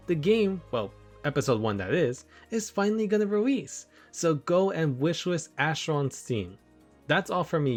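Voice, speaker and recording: Young man, game reviewer, very animated, slightly high voice, enthusiastic commentary, music behind. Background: background music.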